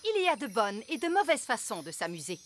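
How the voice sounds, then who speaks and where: A woman's voice: on the high side, very animated, fairly quick, soft background music. A woman playing a strict, prim fairy nanny in a French-dubbed children's cartoon, with a moralizing way of talking. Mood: prim, disapproving.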